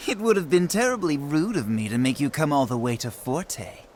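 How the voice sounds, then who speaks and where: A man's voice: on the high side, normal speed, a little mellow, slight background noise, lightly acted. A man playing a silky, scheming villain count. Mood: smoothly menacing. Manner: silky, courteous, menacing. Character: scheming smooth-talking male count, ruler of Forte. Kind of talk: dubbed video game cutscene. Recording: game audio with music behind.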